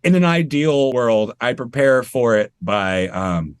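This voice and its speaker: A man's voice: medium pitch, a little slow, smooth, no room sound, clean studio sound. A man from Maine, a tribute performer talking casually and animatedly as an interview guest over a video call. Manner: chatty, animated, self-deprecating.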